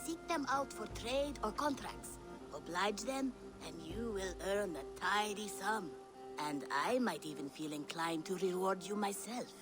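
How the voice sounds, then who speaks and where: An actress voicing a shrewd, self-assured female merchant in a video game, with smooth, formal salesmanship. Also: mid-range voice, expressive, unhurried, over music, natural voice. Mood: sly, inviting.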